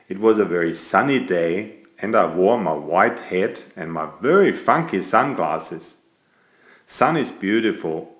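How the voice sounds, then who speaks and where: A man's voice: low voice, echoey room. A man voicing a vain, chatty, elegant tomcat who playfully tells a bedtime story to small children. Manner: playful, self-satisfied, addressing small children.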